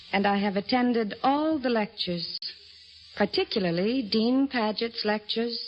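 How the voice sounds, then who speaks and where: High-voiced, determined young lady doctor. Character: determined, idealistic young woman doctor, 19th century. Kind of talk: old-time radio drama acting. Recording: dull, noisy old radio recording.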